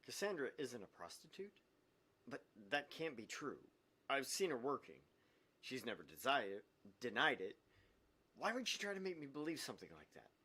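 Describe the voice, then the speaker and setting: A man's voice: medium pitch, huge pitch swings, normal speed, pushed and bright, husky, voice pushed up, heavily acted. A man voicing an anguished, obsessive, vindictive young man in a dramatic read-aloud of a visual novel. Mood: distressed.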